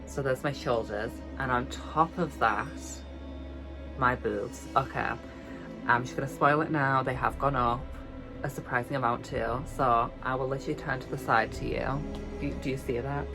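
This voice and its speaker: A young woman from the UK with a light, high, girly voice, talking casually in a vlog, chatty and self-mocking, stretching words for emphasis. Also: low-quality recording. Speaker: vlogger.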